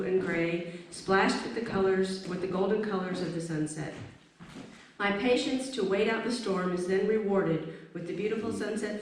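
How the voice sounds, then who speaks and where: A woman presenting at a live event, introducing pieces and reading aloud. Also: mid-range voice. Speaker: event presenter, stand-in reader. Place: indoor event space, recorded from a distance. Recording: very echoey room, distant mic, faint noise.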